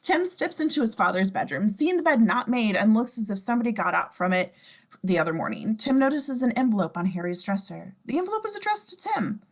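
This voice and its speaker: A woman's voice: a little high, very animated, a little fast. A woman reading scene descriptions for a table read, informal and given to wry asides. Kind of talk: scripted narration in casual table read.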